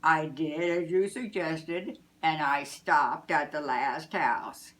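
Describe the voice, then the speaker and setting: A busy old Santa Claus from a children's storybook, acted out by a woman. He speaks slowly and heartily, jolly at heart but a little wistful. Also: low voice, fairly bright tone. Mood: delighted.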